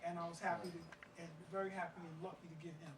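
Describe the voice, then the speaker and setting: A woman's voice: lowish voice, level tone, racing. A woman informally hosting a live event, chatty, casual and joking with the audience. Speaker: host introducing a stage play. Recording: echoey room, distant mic, clear noise.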